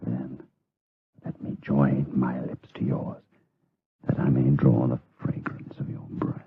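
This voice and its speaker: An actor playing a lustful, guilt-tormented monk in a gothic radio drama, with breathy, theatrical, impassioned delivery. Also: male voice, rumbling low, little pitch movement, takes it slowly, a little hiss or hum, exaggerated voice. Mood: amorous longing. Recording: tinny, phone-like radio drama recording.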